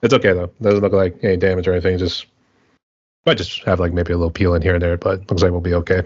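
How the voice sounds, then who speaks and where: A man's voice: low voice, little pitch movement, fast talker, no echo, lo-fi sound. An American man hosting a podcast, asking loose, rambling questions in a casual chat. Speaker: podcast host.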